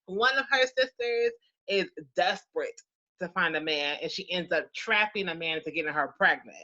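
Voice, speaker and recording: Bright, forceful woman on slightly muffled audio. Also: fairly low pitch, animated, moderate pace. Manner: emphatic, playful, slangy storytelling. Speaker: author discussing own book. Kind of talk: casual book discussion.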